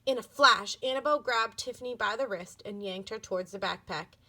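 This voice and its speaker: A woman reading a children's book aloud as an expressive storybook narrator. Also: mid-range voice, a little fast.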